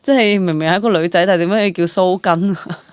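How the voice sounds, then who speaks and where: A young woman with a Hong Kong Cantonese accent chatting casually as an interview guest, animated and dropping English words into her speech. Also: fairly low pitch, quick pace, clear, resonant tone, no echo, clean studio sound. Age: young adult. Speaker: guest, stage actress.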